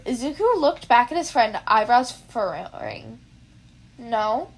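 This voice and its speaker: A woman's voice: a little high, sing-song, moderate pace, husky. A woman reading fan fiction aloud as a scripted story narration. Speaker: amateur fan fiction reader.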